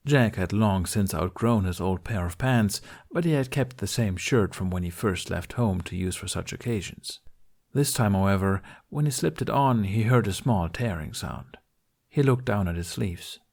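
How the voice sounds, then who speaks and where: A man reading a fantasy story aloud as an expressive amateur narrator. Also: lowish voice, moderate pace, creaky. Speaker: amateur podfic reader.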